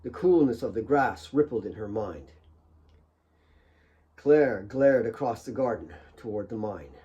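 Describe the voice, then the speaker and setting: A man's voice: on the low side, lively intonation, unhurried, warm tone, highs cut off. A man reading his own fiction as a dramatic audiobook narrator.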